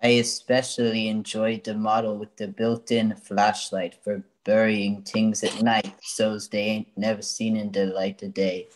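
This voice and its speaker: A shady male customer played by an actor in a comic radio play. He gives his endorsement in a stiff monotone, utterly deadpan. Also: lowish voice, unhurried, few pauses, lightly acted, low-quality recording. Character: deadpan shady man giving testimonial. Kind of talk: comic old-time radio acting.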